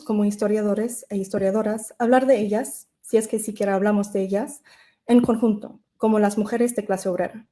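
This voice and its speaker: A woman who is not a native Spanish speaker, reading a prepared academic lecture as an invited speaker, measured and careful with occasional self-corrections. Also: a little high, warm tone.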